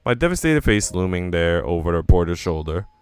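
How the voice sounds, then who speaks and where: Visual novel lead: anxious young woman, dramatic inner monologue, lively intonation, smooth tone male reader. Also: low voice, a little fast, no room sound. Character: Nana, anxious young woman narrating her troubles. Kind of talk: visual novel first-person reading.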